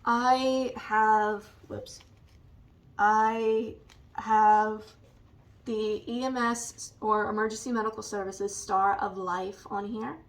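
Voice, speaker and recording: A woman with a raspy, allergy-hoarse voice, slowly and hesitantly showing a scrapbook in a casual vlog. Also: medium pitch, steady tone, recorded from a distance. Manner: slow and hesitant, many ums. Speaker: vlogger. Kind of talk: casual show-and-tell vlog.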